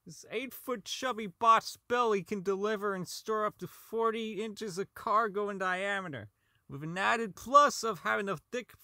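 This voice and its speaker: A man's voice: sits high, bright, forceful tone, no echo, studio quality, exaggerated voice. A man playing a socially inept, unravelling robot designer in an audio drama log, rambling, stammering, swearing and repeating himself. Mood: proud, salesmanlike.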